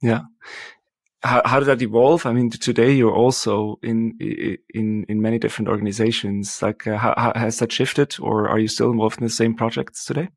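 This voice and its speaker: Podcast host guy, flat delivery, bit of vocal fry, asks stuff kinda haltingly. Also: mid-range voice, no room sound, clean studio sound. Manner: halting, feeling his way through questions. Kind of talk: conversational interviewing.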